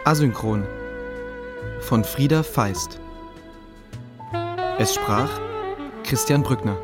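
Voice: mid-range voice, huge pitch swings, slow pace. An announcer reading the scripted closing credits of a radio play. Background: loud plucked guitar music.

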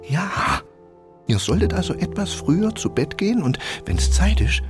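An actor playing a small, stout, learned royal tutor, formal, pedantic and cautious, in a children's radio play. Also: male voice, sits high, very animated, moderate pace. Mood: lecturing, courtly. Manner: formal, pedantic, nervously hesitant. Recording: music under the voice.

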